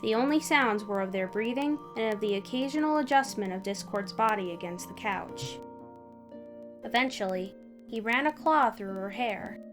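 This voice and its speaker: A woman with a medium-pitched voice speaks as narrator and host of a fan fiction reading. Soft music sits faintly behind her. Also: normal speed. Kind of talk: story narration and casual outro chat.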